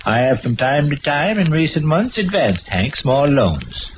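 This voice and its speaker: Pompous American husband in noisy old radio comedy. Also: male voice, a little high, very animated, measured pace, highs cut off. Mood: conciliatory, persistent. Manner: pompous, wordy, formal phrasing. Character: pompous middle-aged husband and father, defensive, small-town. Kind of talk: 1940s radio comedy acting.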